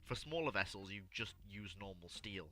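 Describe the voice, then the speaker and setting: A man's voice: fairly low pitch, animated, normal speed, sharp tone. A man hosting a casual panel discussion and answering audience questions.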